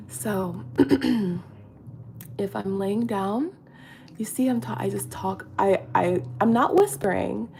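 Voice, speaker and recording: A woman's voice: medium pitch, gravelly, a little mellow, a little hiss or hum. A middle-aged woman chatting casually and confidingly in a get-ready-with-me vlog, full of fillers and restarts. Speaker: vlogger.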